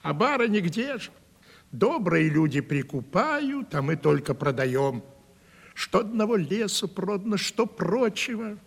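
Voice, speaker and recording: An actor in a 1970s Russian radio play as a sly, gossipy manservant, teasing and insinuating in a folksy way. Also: male voice, sits high, very animated, unhurried, vocal fry, husky, big-room sound, exaggerated voice. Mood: grumbling, gossipy. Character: sly, gossipy, truth-telling male manservant, needling and folksy.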